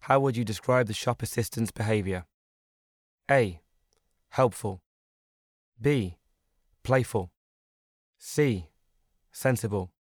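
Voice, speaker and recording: A man's voice: on the low side, slow pace, pauses often, dry recording, studio quality. A man reading scripted listening-test questions and options as the narrator.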